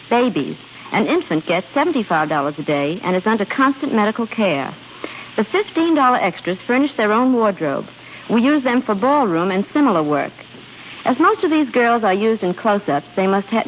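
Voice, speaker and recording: A woman giving a scripted, formal and instructive talk as a guest on 1930s radio. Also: a little high. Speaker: guest casting director. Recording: dull, noisy 1930s radio broadcast.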